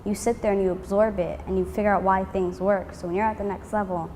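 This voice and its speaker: A young woman student earnestly explaining deep learning, leaning on "like". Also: flat delivery, quick pace, darkish tone, long reverb tail, slight background noise. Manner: earnest, repetitive with "like". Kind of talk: interview answer.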